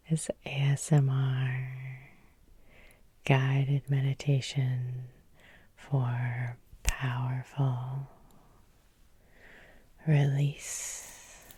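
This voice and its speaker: A woman leading an ASMR guided hypnosis in a breathy whisper, soothing and repetitive, with lingering pauses. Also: a bass voice, mellow, no room sound. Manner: slow, soothing, repeating phrases with lingering pauses. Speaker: hypnotherapist and Reiki healer leading a guided meditation.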